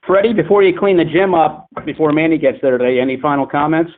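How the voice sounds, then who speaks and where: A man with a somewhat high voice moderates a press call over a thin, narrow phone line. His delivery is casual and wry, with a bantering touch. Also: fairly even intonation, fast talker, a little hiss or hum. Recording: tinny phone conference line.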